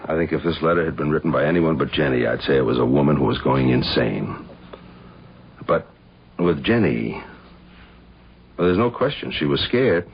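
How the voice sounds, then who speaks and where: A man's voice: very deep voice, animated, moderate pace, pauses often, husky, heavily acted. An actor playing a blunt man who takes charge, in a radio mystery drama. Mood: skeptical. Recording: dull, slightly noisy old radio broadcast.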